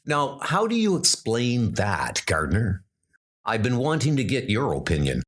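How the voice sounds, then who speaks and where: A man voicing an earnest, scholarly schoolteacher in a pulp adventure story, measured and formal. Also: mid-range voice, huge pitch swings, fairly bright tone, breathy edge, full character voice. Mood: earnest, puzzled.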